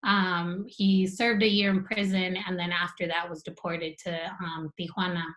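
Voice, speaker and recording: A young woman from Southern California and the border region, a native Spanish speaker, telling her family story in a steady conversational way as a panel guest. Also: lowish voice, normal speed, low-quality recording. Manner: clear, steady, conversational with frequent fillers.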